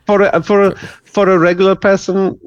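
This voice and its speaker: A man, an English speaker, explaining things in a podcast interview in a halting way, full of repeated words and restarts. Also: high voice, level tone, dry recording, dull audio. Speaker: guest.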